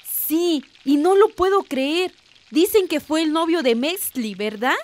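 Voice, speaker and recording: A woman's voice: sits high, animated, unhurried. An actress with a Mexican accent playing a concerned, cautious village mother in a radio drama. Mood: concerned, earnest.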